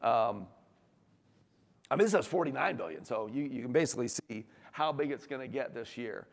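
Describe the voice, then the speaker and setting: A man presents to an audience in a large hall with long reverb trailing his words. His voice sits at an average male pitch and is slightly hoarse. He talks like an executive who is keen on the subject, conversational and emphatic. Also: animated, normal speed. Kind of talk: informal business presentation to an audience. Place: large room, talk before an audience.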